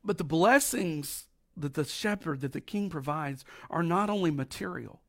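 A middle-aged man with a raspy voice from allergies, preaching a folksy, emphatic sermon with slow drawn-out stresses. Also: a little high, lively intonation, a bit bright. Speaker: pastor.

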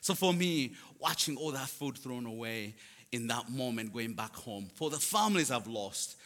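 A man with a Ugandan accent, speaking English as a later language, tells his story as a guest speaker. His voice is bright and forceful at a middle pitch, carried by long hall reverb. He uses a storyteller's delivery with self-deprecating humour. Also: expressive, normal speed. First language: not English; English is his fourth language. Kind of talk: personal testimony to a congregation.

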